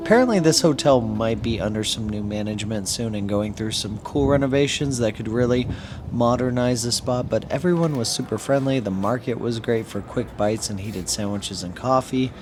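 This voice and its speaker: A hoarse man hosting a travel vlog, giving a candid, upbeat recap of his trip. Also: medium pitch, normal speed, background noise. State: hoarse after losing his voice. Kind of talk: casual recap to camera. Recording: background music playing. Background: music.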